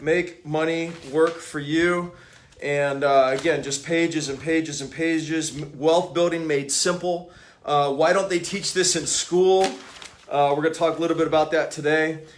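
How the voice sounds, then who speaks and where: A middle-aged man from Hawaii hosts a casual live stream, his voice sitting a little on the higher side. He stops and restarts often, filling gaps with uh and um. Underneath the hesitations he stays enthusiastic as he reads reviews aloud and talks about growing up. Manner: halting, many uh and um fillers, enthusiastic. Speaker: author and app developer hosting a live stream. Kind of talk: casual livestream talk, reading reviews aloud.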